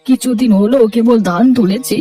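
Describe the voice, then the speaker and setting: A dark, soft-edged voice of an anxious poor farmer , played by a man in a fairy-tale cartoon. He is fretful and plaintive, sounding distant from the mic with music behind. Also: extremely high pitch, fairly quick, breathy edge. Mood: lamenting. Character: anxious poor village farmer, lamenting, humble.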